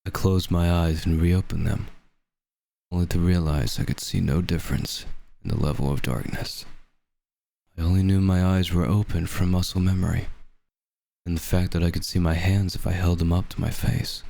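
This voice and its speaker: A man narrating a scripted horror story in the first person, with a measured, ominous, dramatic delivery. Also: low voice, fairly even intonation, gravelly. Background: dark background music.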